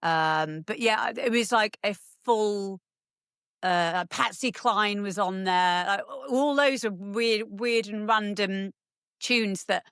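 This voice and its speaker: A woman's voice: medium pitch, takes it slowly, a bit bright, clear, resonant tone, no room sound, clean studio sound. A woman, chatting as a podcast guest, rambling with lots of ums and restarts. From England. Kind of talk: casual chat.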